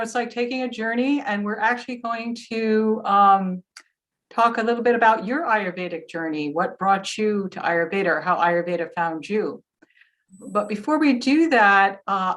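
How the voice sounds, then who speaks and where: A woman's voice: mid-range voice, a bit bright. A woman hosting a podcast, asking questions in a chatty way with lots of fillers. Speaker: podcast host. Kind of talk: casual interview.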